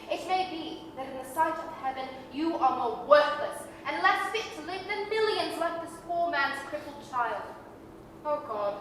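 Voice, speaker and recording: A woman plays a commanding ghostly spirit with a high, forceful voice. The delivery is solemn radio-drama rhetoric, carried across a very reverberant hall. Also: exaggerated voice. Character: stern Christmas spirit rebuking a miser. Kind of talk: 1930s radio-style staged reading. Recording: echoey hall, distant mic, faint noise.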